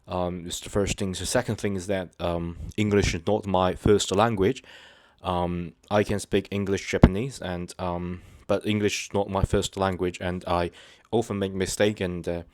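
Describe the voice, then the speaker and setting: A man hosting his own channel, talking to viewers unscripted in a halting, hesitant way, full of fillers and restarts, earnest and sincere. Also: sits low, little pitch movement, darkish tone. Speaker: channel host. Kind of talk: unscripted personal talk to viewers.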